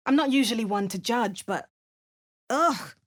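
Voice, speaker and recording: A wry, sardonic adventurer. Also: female voice, on the high side, expressive, unhurried, no room sound. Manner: dry, sarcastic asides. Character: sardonic adult female adventurer, wry and unflappable. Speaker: player character voice actor. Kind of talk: game voice lines, dry narration and quips.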